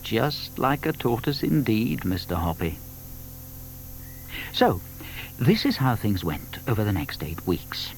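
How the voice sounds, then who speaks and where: A British man narrating a children's audio drama as a wry, measured storyteller. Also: on the low side, very animated, gravelly, a little hiss or hum, low-quality recording.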